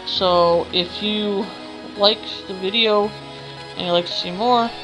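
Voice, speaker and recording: A woman's voice: mid-range voice, steady tone, takes it slowly, music in the background, low-quality recording. A woman hosting a gaming let's play, reading aloud in a dramatic manner with the odd stumble. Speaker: gaming channel host.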